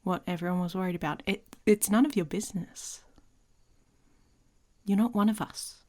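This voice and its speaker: A woman playing a haughty, domineering female werewolf in an intimate ASMR roleplay, mocking and commanding, often dropping into a breathy whisper. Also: medium pitch, moderate pace, a little mellow, close to an everyday voice. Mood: scornful. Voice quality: husky, breathy.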